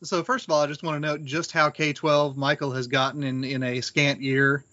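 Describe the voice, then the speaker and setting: A man talking casually as a podcast panelist, reflective and halting, with a wry streak. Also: a little high, flat delivery, sharp tone, no echo, dull audio. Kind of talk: casual discussion of old radio drama.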